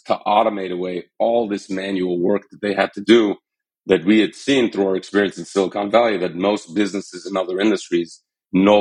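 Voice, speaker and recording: A man in his thirties, a company founder telling his founding story in a podcast interview, earnest and measured. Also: sits low, darkish tone, poor audio. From Armenian, born in Iran, raised in the US. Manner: earnest and measured, some fillers. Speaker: startup founder and CEO, podcast guest.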